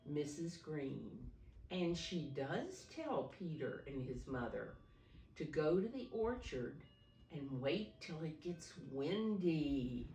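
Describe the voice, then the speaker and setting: A woman reading a picture book aloud to small children, pausing to ask simple questions and explain. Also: low-pitched, dark tone, slight background noise. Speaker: preschool storybook reader, teacher figure. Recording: roomy echo, distant mic.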